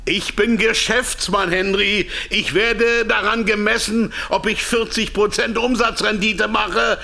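An actor playing a captive pharmaceutical executive in a radio drama, self-justifying and slyly defiant. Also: male voice, high voice, steady tone, a little slow, vocal fry, pushed and bright, full character voice. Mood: agitated, defiant. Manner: defensive, stammering under pressure, otherwise slick. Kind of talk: radio drama acting, with whispered inner thoughts.

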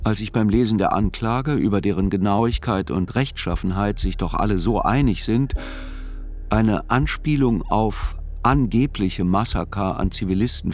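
A man giving scripted first-person literary narration in a radio play, measured and reflective. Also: fairly low pitch, darkish tone.

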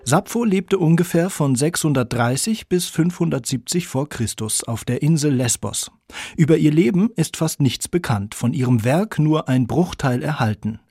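A man with a slightly husky voice narrating a scripted radio feature in an articulate, clearly structured way. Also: on the high side, animated, normal speed, warm tone, no echo, clean studio sound. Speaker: radio documentary narrator and reporter. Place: dry studio.

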